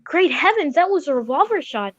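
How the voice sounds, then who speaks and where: A woman playing a genteel older gentleman doctor in an old-time radio drama, speaking formally and with old-fashioned measure. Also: high-pitched, big pitch swings, brisk, lo-fi sound, very noisy. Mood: earnest. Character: Doctor Watson, genteel older gentleman narrator and loyal companion.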